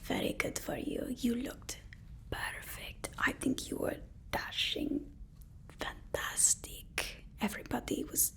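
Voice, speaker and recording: Whispering, fawning villain's assistant played by a woman. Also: slow. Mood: soothing, flattering. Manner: deferential, flattering, hesitant, soft-spoken. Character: fawning, nervous female personal assistant to a super villain. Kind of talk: ASMR roleplay.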